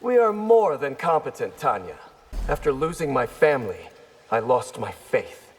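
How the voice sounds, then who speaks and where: An actor playing an earnest, confident ninja hunter marked by grief in a fighting game. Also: male voice, medium pitch, sing-song, normal speed, breathy edge. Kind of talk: video game fight intro dialogue. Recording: long hall reverb.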